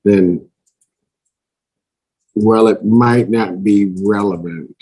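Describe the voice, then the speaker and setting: A man's voice: on the low side, extremely slow, a little mellow, a little hiss or hum, low-quality recording. A man presiding as a judge, talking informally and plainly from the bench in a courtroom.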